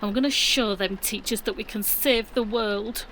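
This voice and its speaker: A woman's voice: mid-range voice, moderate pace, fairly bright tone, a little hiss or hum, close to an everyday voice, poor audio. A woman playing a plucky, wry, middle-aged British mum in an audio drama, with a colloquial, dry-humoured delivery. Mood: affectionate, determined. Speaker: actor.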